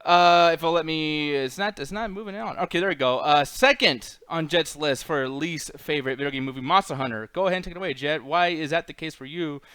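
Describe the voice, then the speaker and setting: A man's voice: fairly high pitch, a little fast, few pauses, fairly bright tone, smooth, no room sound. A man hosting a casual panel chat, arguing informally and swearing as he banters. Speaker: podcast host.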